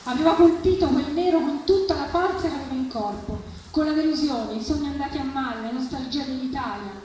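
A woman giving an expressive, theatrical reading of literary prose at a live event. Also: high-pitched, a little fast. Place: live public reading at a festival, distant mic. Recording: echoey, distant, noisy live event recording.

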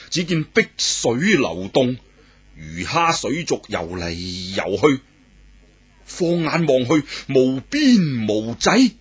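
Male storyteller, raspy voice, lively swooping delivery, unhurried. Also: medium pitch. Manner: animated, exclamatory, dramatic pauses. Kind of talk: traditional oral storytelling of a martial arts novel.

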